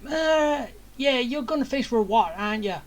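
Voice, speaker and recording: A woman's voice: high-pitched, animated, moderate pace, slight background noise. A woman giving casual gameplay commentary as she reacts to what she plays. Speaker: game player reacting.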